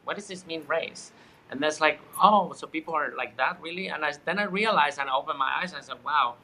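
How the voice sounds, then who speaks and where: A man in his thirties from Chile speaks with a very bright, keen voice pitched a little high. He rambles and gropes for words, leaning on fillers. His tone stays loose and conversational. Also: brisk, poor audio. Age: 30s. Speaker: guest. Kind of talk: casual spiritual conversation.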